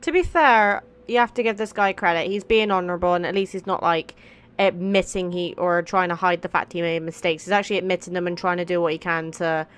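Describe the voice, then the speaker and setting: A woman's voice: on the low side, fairly even intonation, fairly quick, clear, resonant tone. A woman gaming creator giving casual, informal, reactive let's play commentary. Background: faint game music.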